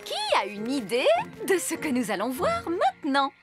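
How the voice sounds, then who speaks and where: A woman's voice: sits high, wildly swooping pitch, normal speed, over music. A woman playing a bossy, self-assured fairy nanny in a children's cartoon, with an imperious, theatrical manner. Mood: brisk, annoyed.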